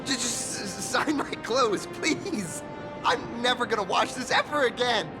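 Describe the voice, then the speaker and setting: High-pitched, gushing young hero fanboy. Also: male voice, lively intonation, unhurried, music in the background, heavily acted, low-quality recording. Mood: gushing, flustered. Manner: gushing, stammering when flustered. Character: excitable starstruck young male actor-turned-masked-hero, earnest and humble. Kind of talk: anime-style video game voice acting.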